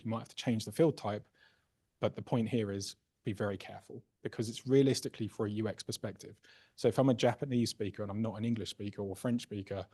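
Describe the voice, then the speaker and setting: A man presenting a software workshop, casually explaining a live demo and often checking in with "right?" and "okay?". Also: lowish voice, slightly rough. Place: workshop room.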